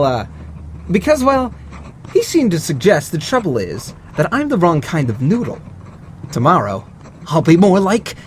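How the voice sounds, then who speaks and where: An actor playing a jittery, eccentric young cartoon bootlegger, wordy, theatrical and prone to rambling and hesitating. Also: male voice, on the high side, a little hiss or hum. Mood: excitable.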